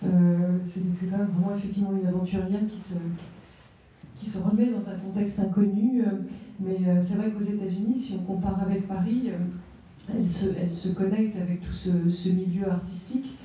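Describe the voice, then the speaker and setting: Soft-spoken woman moderator, echoey room. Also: mid-range voice, steady tone, a little fast. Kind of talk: round-table discussion. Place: gallery room. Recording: echoey room, far from mic.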